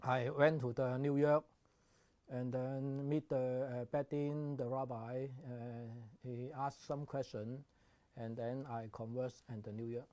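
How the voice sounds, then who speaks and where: A man from Hong Kong answering interview questions in simple, halting English. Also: mid-range voice, level tone, dark tone, no echo. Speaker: student.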